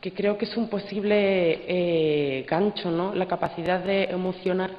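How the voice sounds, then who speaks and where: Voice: medium pitch, steady tone, unhurried. A reflective, hesitant speaker commenting in a public discussion and often seeking agreement. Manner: hesitant, reflective, seeks agreement with tag questions. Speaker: audience member commenting in a congress discussion. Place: large indoor congress hall. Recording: echoey hall, long reverb, dull sound.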